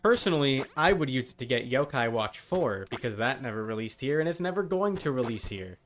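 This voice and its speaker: A man's voice: medium pitch, expressive, fairly quick, a bit bright, faint music behind. A man casually chatting on a gaming live stream, rambling along in a wry, joking way. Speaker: game streamer.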